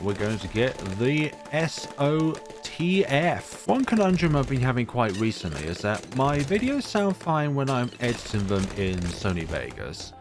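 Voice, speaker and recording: A man with a deep voice giving casual, rambling commentary on his own gameplay, full of fillers. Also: noisy background. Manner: rambling, chatty, many fillers. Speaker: gaming YouTuber. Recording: music playing behind voice.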